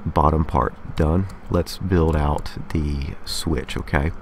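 A man's voice: a bass voice, steady tone, takes it slowly, a little hiss or hum. A man hosting a casual game building tutorial, explaining methodically step by step and often checking in with a short okay. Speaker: tutorial host.

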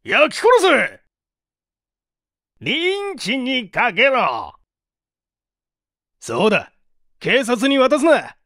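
A man voicing furious, vengeful townspeople shouting demands in a story reading. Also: extremely high pitch, huge pitch swings, very slow, long pauses, no echo, studio quality, voice pushed up, exaggerated voice. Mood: enraged.

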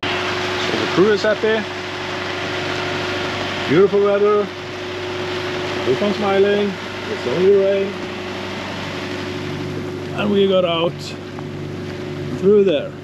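Man talking over loud wind on a boat. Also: high voice, lo-fi sound. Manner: halting, many "uh" fillers, plain explaining. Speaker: sailing vlogger, boat captain. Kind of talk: casual narration on deck. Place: outdoors on a sailboat. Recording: loud wind and engine noise.

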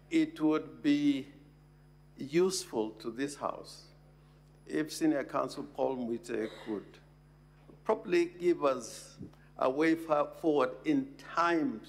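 An older man with a Kenyan English accent speaking deliberately as a senator in parliament, with frequent hesitations. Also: mid-range voice, gravelly. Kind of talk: parliamentary remarks. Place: large parliamentary chamber. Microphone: desk microphone.

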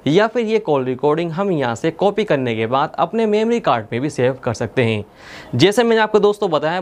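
A young man presenting a tech tutorial step by step, in a methodical, instructional manner. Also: on the high side. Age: young adult. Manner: instructional, repeats points methodically. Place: indoor studio with plain blue backdrop.

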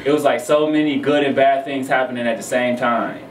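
American man, casual guest chat, halting delivery, mid pitch, roomy and slightly distant. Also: steady tone. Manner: halting, repeats "like". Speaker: guest, music artist. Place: small radio studio with foam panels. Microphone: studio microphone on boom arm. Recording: echoey room, off-mic, faint noise.

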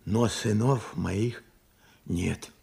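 An actor playing a weary older widowed steelworker who recalls his war losses in halting, reflective phrases, in Soviet radio drama style. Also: on the low side, gravelly, dark tone, husky, exaggerated voice. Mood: sorrowful, subdued.

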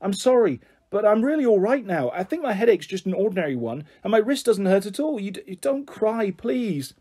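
Anxious young schoolgirl, played by a man, high pitch, husky, tearful, pleading, storybook character. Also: expressive, fairly quick, mellow. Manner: pleading, insistent. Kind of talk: audiobook character voice.

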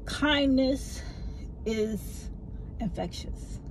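A middle-aged woman telling a story to her phone camera from a parked car, lively and gesturing as she talks, with a low voice that some people find hard to hear. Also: extremely slow, background noise. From family from the American South. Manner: animated, talks with her hands. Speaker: vlogger. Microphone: phone camera mounted in front of her.

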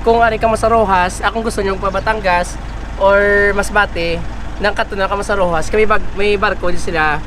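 A man's voice: sits high, fairly even intonation, a little fast, noisy background. A young man with a Filipino accent, chatting casually to viewers in a travel vlog, filming himself on an action camera outdoors. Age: young adult. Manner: chatty, switching between English and local talk, addressing viewers. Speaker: travel vlogger. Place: outdoors on a sunny hilltop and coast. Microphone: action camera held at arm's length.

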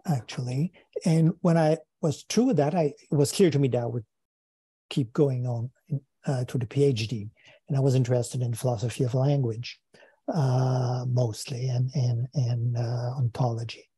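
Older French-Canadian man, husky and dark. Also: medium pitch, steady tone, no room sound. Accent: Quebec French. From Quebec, Canada. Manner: thoughtful, halting, repeats words while searching. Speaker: guest philosopher. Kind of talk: unscripted interview answer. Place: home study with bookshelves. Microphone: earphone mic on video call.

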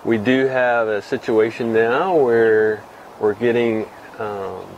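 A man's voice: mid-range voice, a little hiss or hum, low-quality recording. A middle-aged man from Texas hosting a casual fishing report to camera outdoors, rambling with many fillers and restarts. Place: outdoors in front of a cluttered shed wall.

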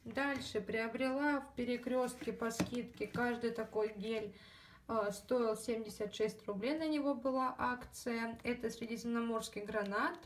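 A fairly high-voiced woman talks her way through a beauty haul in a relaxed, rambling manner, dropping fillers and calling out to her viewers. Her throat sounds slightly scratchy, and she is recorded at home on a phone held at some distance. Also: unhurried. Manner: chatty, many fillers, addresses viewers as girls. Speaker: beauty vlogger. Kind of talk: casual haul video chat.

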